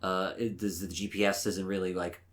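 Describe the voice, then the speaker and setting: A man co-hosting a podcast in casual banter, with a halting, mock-serious way of telling things. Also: low voice, level tone. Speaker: podcast co-host.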